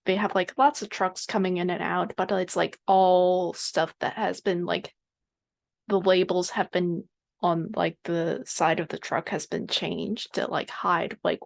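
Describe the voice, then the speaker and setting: A woman with a heavily creaky, fairly low voice chats as a game player. She improvises casually and haltingly, working her ideas out aloud.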